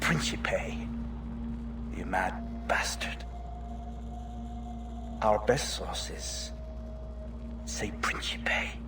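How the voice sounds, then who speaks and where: An actor playing a haughty, zealous colonial villain in a video game, pompous and contemptuous. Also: male voice, deep voice, animated, drawn-out words, pauses often, in a whisper, distant mic, exaggerated voice. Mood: dying, whispered.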